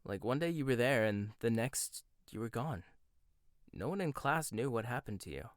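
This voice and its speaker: Soft-spoken man in a dry close-miked roleplay. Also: medium pitch, lively intonation, no room sound.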